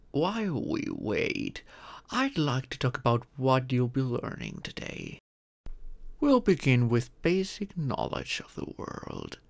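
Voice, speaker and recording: A man's voice: medium pitch, gravelly, heavily acted. A man voicing an ancient male dragon, a former king and doting but stern father, speaking formally and patiently in an ASMR roleplay. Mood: calm, instructive. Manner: formal, patient, fond, instructive. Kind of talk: ASMR roleplay, scripted monologue.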